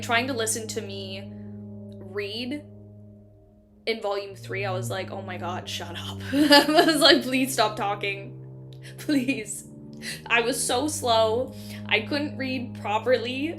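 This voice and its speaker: A woman's voice: on the high side, fairly bright tone. A woman casually chatting and reading a novel aloud. She laughs often, uses many fillers and still stutters on some words. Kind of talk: casual chat between passages of a novel read aloud.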